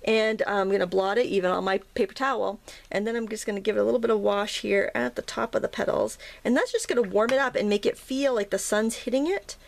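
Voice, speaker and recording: Woman, watercolor teacher, casual tutorial chat, slightly hoarse, gravelly fry, ums and restarts. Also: medium pitch, fast. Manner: chatty, self-interrupting, frequent ums and restarts. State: slightly hoarse, morning froggy throat. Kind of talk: casual painting tutorial narration. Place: indoors at a painting table.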